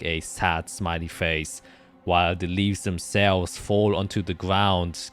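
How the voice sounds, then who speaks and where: A man acting as game master, improvising descriptive fantasy narration in a measured way with pauses as he builds the imagery. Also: deep voice, fairly bright tone.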